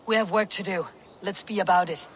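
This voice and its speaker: A woman acting as a female Viking war leader in a dramatic game. She sounds steady and authoritative, with a measured delivery at a middling pitch. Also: slight background noise, lightly acted. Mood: commanding.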